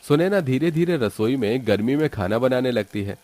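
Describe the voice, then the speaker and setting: Male storyteller with a medium-pitched voice. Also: expressive, normal speed, warm tone. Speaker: narrator. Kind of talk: scripted moral story narration.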